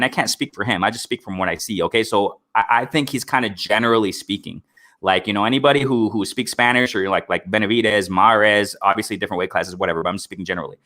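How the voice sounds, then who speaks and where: Fast-talking, bright-voiced male boxing streamer. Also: mid-range voice. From Mexico, raised in the United States. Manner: fast and rambling, with many restarts and "if I'm not mistaken". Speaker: host of a live boxing stream. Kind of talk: casual live-stream chat.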